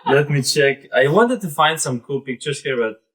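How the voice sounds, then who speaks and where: A man in his thirties with a Belarusian accent gives an informal live presentation in a halting, conversational way, often saying "like" and "basically". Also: fairly high pitch, lively intonation, fairly bright tone. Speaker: presenter, startup founder.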